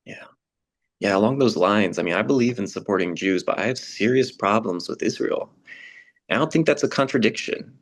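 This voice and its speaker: A man plays a troubled American churchgoer in a readers theater dialogue. The voice is somewhat low. The delivery is frank, earnest and conversational. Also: expressive, moderate pace. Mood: firm, earnest.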